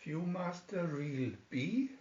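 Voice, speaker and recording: Slow, echoey man reading a fairy tale. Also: on the high side, lively intonation, darkish tone, slight background noise. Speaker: storybook reader. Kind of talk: scripted fairy tale narration. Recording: echoey room, dull lo-fi sound.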